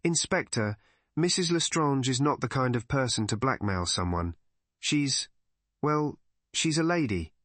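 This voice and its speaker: A man's voice: medium pitch, lively intonation, a little slow, a bit bright, no room sound, voice pushed up. A man voicing a polite, earnest village vicar in an audiobook.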